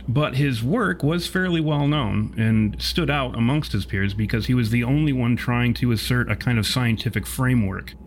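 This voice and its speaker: A man's voice: mid-range voice, slight background noise. A man narrating a tabletop game as game master, improvising in wordy, formal phrases.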